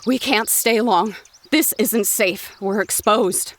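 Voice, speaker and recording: A woman's voice: medium pitch, takes it slowly, natural voice. A woman voicing Tessa, a wary young female survivor who speaks in clipped, urgent warnings.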